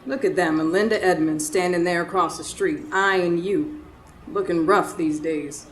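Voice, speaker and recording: A woman acting as a caring but suspicious, sharp-tongued wife in a radio drama. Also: on the low side, a little slow, natural voice. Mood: jealous, scornful. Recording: roomy live stage, faint noise.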